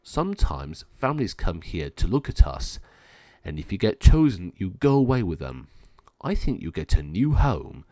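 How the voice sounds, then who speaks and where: A man's voice: sits low, sing-song, moderate pace, dark tone, dry recording, pitched below the performer's own voice. Man voicing a wistful abandoned storybook dog. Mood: hopeful. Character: wistful abandoned family dog in a pound cage.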